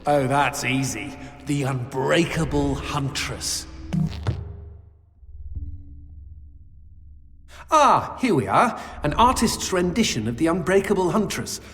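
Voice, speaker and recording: High male voice, bawdy demon sidekick, very animated, theatrical game dialogue, music behind. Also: measured pace. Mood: fond, teasing. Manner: theatrical, quippy, storyteller's flourish. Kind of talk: video game character acting. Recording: long hall reverb under game music.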